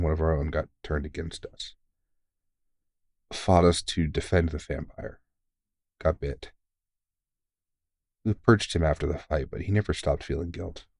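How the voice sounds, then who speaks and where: A man playing a kindly, tired veteran vampire hunter in an intimate audio roleplay, reassuring a rookie in an informal, gently teasing and sweary way. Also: very deep voice, vocal fry, no room sound, exaggerated voice. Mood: somber, earnest.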